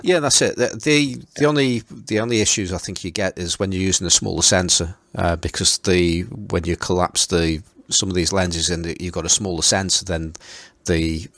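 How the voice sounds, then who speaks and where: A man's voice: deep voice, fairly even intonation, normal speed, few pauses, fairly bright tone, no echo. A man hosting a casual chat, talking hesitantly and often repeating words while he thinks. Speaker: podcast host.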